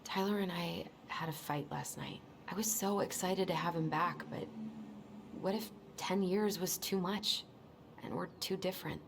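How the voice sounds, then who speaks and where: A woman's voice: fairly low pitch, moderate pace, vocal fry, slight background noise. A woman playing an anxious, weary young American woman in a video game, speaking in a hesitant, subdued way. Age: young adult. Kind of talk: naturalistic video game dialogue.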